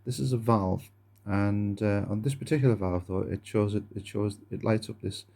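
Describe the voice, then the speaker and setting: Male demo presenter, casual and hesitant, soft-edged dark tone with noticeable fry. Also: fairly low pitch, moderate pace. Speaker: presenter of a gadget demonstration video. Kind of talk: casual hands-on demonstration, explaining step by step. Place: indoors at a wooden table.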